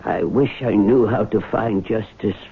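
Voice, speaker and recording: An actor playing a grave, loyal family lawyer in formal, insistent 1970s radio drama style, with a gravelly, husky voice. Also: male voice, fairly low pitch, unhurried, heavy background noise. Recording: tinny old radio broadcast.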